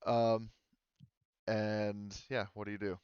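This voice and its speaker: A man working as game master, casually narrating a tabletop game in halting, improvised phrases. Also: on the low side, little pitch movement, clear, resonant tone, no room sound, highs cut off.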